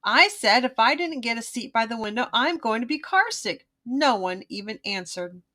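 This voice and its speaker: A woman reading a children's storybook aloud as host, with expressive, emphatic phrasing. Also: fairly high pitch, normal speed.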